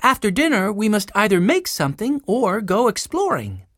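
A woman's voice: mid-range voice, sing-song, normal speed, fairly bright tone, no echo, studio quality, pitched above the performer's own voice. A woman voicing a sensible, cheerful older girl who organizes her siblings in a children's story. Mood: cheerful, practical.

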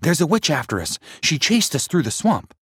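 A man voicing frightened young schoolboys who yell in a shrill, frantic way. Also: very animated, moderate pace, slightly rough, dry recording. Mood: fearful. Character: frightened young boy classmates, excitable.

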